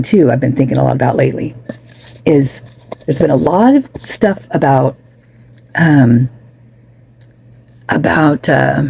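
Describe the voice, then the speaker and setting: Woman losing her voice, gravelly and husky, mellow tone, rambling chatty commentary. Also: deep voice, no echo. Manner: chatty, many restarts and asides. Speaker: true crime commentator.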